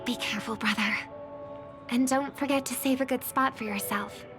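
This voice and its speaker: A woman voicing a gentle, worried young singer and devoted little sister in a game, speaking softly and pensively. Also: on the high side, fairly even intonation, moderate pace, close to an everyday voice. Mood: affectionate, concerned. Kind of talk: game voice acting, soft dramatic dialogue. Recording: background music under the voice.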